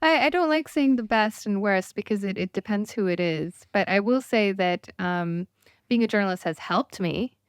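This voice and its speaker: A middle-aged woman who grew up in Thailand, a documentary filmmaker speaking as a guest in a casual interview, thoughtful and hesitant with many pauses. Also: medium pitch, expressive, smooth, dry recording, studio quality.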